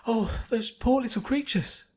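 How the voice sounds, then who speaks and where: A man voicing a timid, gentle, soft-hearted young woman with a hesitant manner. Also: very high-pitched, a little slow, pitched above the performer's own voice. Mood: horrified.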